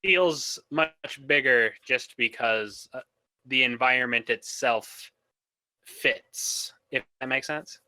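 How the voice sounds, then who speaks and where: A man speaking casually as a podcast panelist discussing video games, in a halting way as he thinks out loud. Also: medium pitch, no room sound, low-quality recording.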